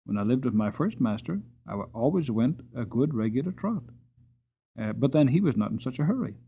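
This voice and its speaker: A man's voice: medium pitch, expressive, a little fast, narrow, tinny audio, slight background noise, lightly acted. A man voicing a troubled, sweet-tempered mare in a storybook, explaining herself plaintively and earnestly. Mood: weary, aggrieved.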